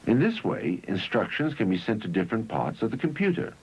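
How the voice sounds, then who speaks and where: A man reads scripted narration for an educational film in measured, instructional phrases, on an old 1971 film soundtrack. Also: on the low side, very animated, muffled recording, very noisy.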